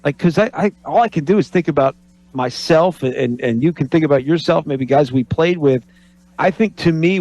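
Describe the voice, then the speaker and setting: A man in his forties co-hosting a sports radio talk show, talking in an animated way and restarting his sentences as he thinks aloud. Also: fairly high pitch, no echo, low-quality recording. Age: 40s. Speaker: radio co-host, college basketball analyst. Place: radio studio.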